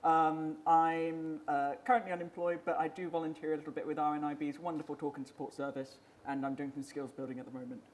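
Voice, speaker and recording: A man's voice: a little high, level tone, fast talker, hardly any pauses, a little hiss or hum. Polite man. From South London. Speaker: panellist.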